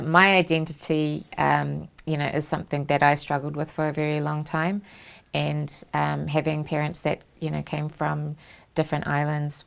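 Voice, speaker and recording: Low, gravelly New Zealand woman host. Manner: warm reflective storytelling with many fillers. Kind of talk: casual interview chat. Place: studio.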